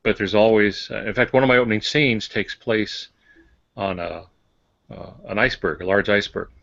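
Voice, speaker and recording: A man in casual talk about writing craft as a podcast panelist, explaining haltingly with dry humor. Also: fairly low pitch, steady tone, creaky, fairly bright tone, no room sound, lo-fi sound. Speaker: fiction writer, podcast panelist.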